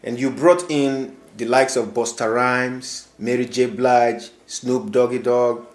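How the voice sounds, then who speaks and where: A man's voice: mid-range voice, steady tone, slow. Formal male interviewer in an echoey room. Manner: formal, flowery phrasing. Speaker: interviewer, host.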